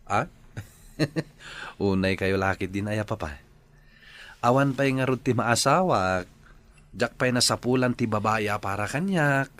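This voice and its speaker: An actor with an Ilocano accent playing a good-natured, teasing young bachelor brother in a radio drama. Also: male voice, on the low side, expressive, measured pace, long pauses, a bit bright. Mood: bashful, friendly.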